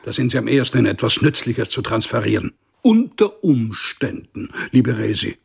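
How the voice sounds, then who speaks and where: A man's voice: medium pitch, very animated, moderate pace, gravelly, husky, exaggerated voice. A man acting a strict, ambitious father and court official in a German radio drama, pompous, cajoling and demanding. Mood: nagging, demanding.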